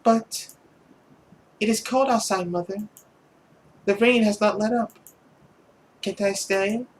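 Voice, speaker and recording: A man voicing a timid, guilt-ridden, obedient young girl from a visual novel in a soft, hushed, apologetic way. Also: high voice, fairly even intonation, takes it slowly, a little hiss or hum, pitched above the performer's own voice, rough recording. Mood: pleading. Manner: meek, hesitant, apologetic. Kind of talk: visual novel read-aloud character voice.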